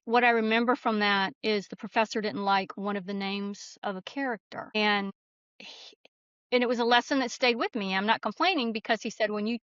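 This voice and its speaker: Woman podcast guest chatting through stories, highish voice, bit muffled but dry. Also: fairly bright tone, no room sound. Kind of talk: casual personal storytelling. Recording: dull, slightly lo-fi audio.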